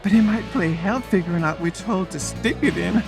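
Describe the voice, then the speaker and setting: A woman's voice: on the low side, brisk, music in the background, natural voice. A woman playing a sassy, gossipy brunette in a comic audiobook, giggly and teasing. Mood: gossipy, amused.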